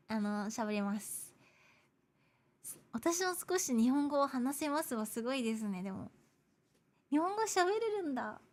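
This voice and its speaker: Bubbly hoarse young woman streamer. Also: fairly high pitch. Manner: bubbly and chatty, greets viewers, frequent giggles. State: hoarse, losing her voice. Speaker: VTuber streamer. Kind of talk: casual livestream chat, switching between English and Japanese.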